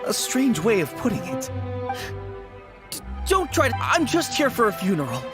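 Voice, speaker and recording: A woman's voice: on the high side, huge pitch swings, normal speed, music in the background. A woman voicing a timid, easily frightened young male rookie undertaker in an anime game, skittish and pleading. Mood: wary.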